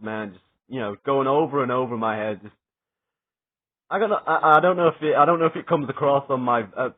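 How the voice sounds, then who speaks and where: Excited young man, tinny phone-like sound. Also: mid-range voice. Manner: rambling and excited, swears, many fillers and restarts. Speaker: wrestling reviewer. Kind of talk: casual spoken review.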